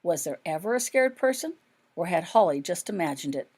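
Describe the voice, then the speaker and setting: Woman reading her own story like an audiobook, voice a bit on the low side. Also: sing-song, a little fast, darkish tone. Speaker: author reading own fan fiction. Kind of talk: scripted audiobook narration.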